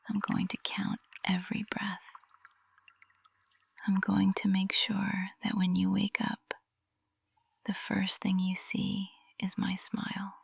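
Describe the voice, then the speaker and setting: Woman acting a breathy, whispering witch teacher in love. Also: medium pitch, no echo, full character voice. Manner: slow, hushed, intimate, adoring. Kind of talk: intimate ASMR whispered roleplay. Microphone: close ASMR microphone.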